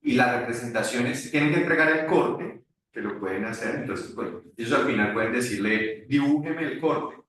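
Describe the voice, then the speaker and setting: A man teaching at a university, talking in a halting way with many restarts during an online class discussion. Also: medium pitch, fairly bright tone. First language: Spanish. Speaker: university lecturer. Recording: echoey room far from mic.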